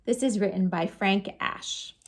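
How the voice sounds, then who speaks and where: A woman storytime host reading picture books aloud to children, expressive and sing-song, with chatty asides to her young listeners. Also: medium pitch, measured pace. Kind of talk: animated picture-book read-aloud for children.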